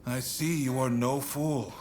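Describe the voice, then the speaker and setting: An actor playing a shrewd, courteous shogunate statesman in a video game, speaking in a measured, formal, authoritative way. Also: mid-range voice, steady tone, a little mellow, natural voice. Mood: composed authority. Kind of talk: video game cutscene acting.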